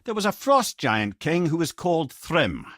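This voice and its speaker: Old scottish know-it-all talking head from a video game, witty storyteller, really animated pitch, dry studio sound. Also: male voice, normal speed, dry recording. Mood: solemn storytelling. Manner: wry, eloquent, colloquial storyteller. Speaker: companion and lore-teller.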